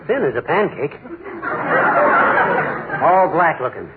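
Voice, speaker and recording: A blustery bumbling husband. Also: male voice, a little high, slow, over a noisy crowd. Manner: blustery, excitable, exclamatory. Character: boastful, bumbling middle-aged husband. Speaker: Fibber McGee. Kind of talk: 1940s radio comedy acting. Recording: noisy, tinny 1940s radio broadcast.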